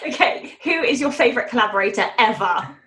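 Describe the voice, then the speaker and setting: A woman hosting a casual, playful podcast interview, expressive and teasing in manner, recorded with a roomy sound. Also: fairly high pitch, a little fast, slight background noise. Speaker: guest host interviewing the show's host.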